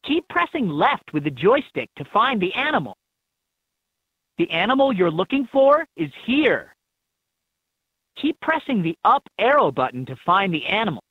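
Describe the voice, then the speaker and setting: A woman with a husky voice reading scripted prompts as an encouraging guide in a children's game, on dull toy audio. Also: mid-range voice, very animated, moderate pace, no echo.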